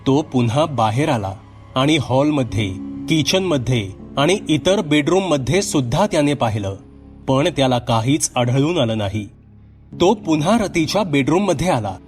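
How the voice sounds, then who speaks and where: A man's voice: on the high side, lively intonation, moderate pace, soft background music. A man narrating a scripted horror story as a storyteller, in a dramatic, suspenseful manner.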